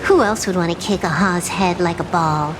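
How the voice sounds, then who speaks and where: Unhurried, wry woman adventurer, played by an actress. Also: fairly low pitch, music in the background, close to an everyday voice, low-quality recording. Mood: wry, teasing. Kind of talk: video game dialogue acting.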